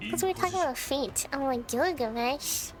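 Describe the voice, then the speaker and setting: Woman game streamer, high voice, sleepy and playfully teasing, casual chat with viewers, game music underneath. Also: animated, moderate pace. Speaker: livestreamer.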